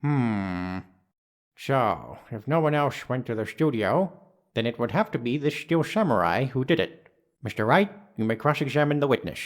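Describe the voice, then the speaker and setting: A man voicing an authoritative, pondering judge in a courtroom game, speaking formally and deliberately. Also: mid-range voice, sing-song, background noise, lightly acted. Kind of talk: video game fan voice acting.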